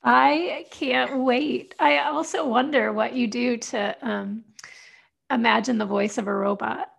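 A woman's voice: a little high, slow pace, vocal fry, a bit bright, breathy edge, no room sound. An American woman hosting a podcast, speaking in an appreciative, effusive manner. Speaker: podcast host. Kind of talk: podcast interview hosting and sign-off.

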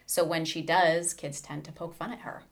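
Low-pitched woman explaining in an interview. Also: flat delivery, moderate pace. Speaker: educator, podcast guest. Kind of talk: book discussion interview.

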